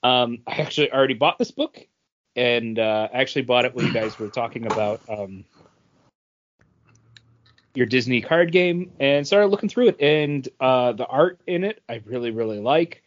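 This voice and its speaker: A man's voice: mid-range voice, lively intonation, moderate pace, dull audio. A man co-hosting a podcast, talking casually about what he is reading. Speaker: podcast co-host. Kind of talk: casual talk about comic books.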